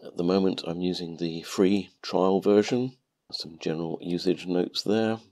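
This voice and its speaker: Deep-voiced man, nasal with a cold. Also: unhurried. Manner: calm, methodical explaining. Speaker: maker channel host. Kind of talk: step-by-step tutorial narration.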